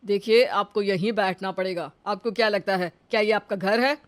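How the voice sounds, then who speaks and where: A woman's voice: mid-range voice, fairly even intonation, moderate pace, lightly acted. A woman voicing an exasperated but polite male office boss in a comic cartoon story, instructing the people around him.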